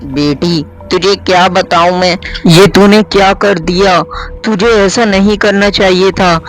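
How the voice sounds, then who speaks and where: A man's voice: sits high, fairly even intonation, a little slow, fairly bright tone, soft background music. A man voicing an anxious, scolding middle-aged mother in a spoken story.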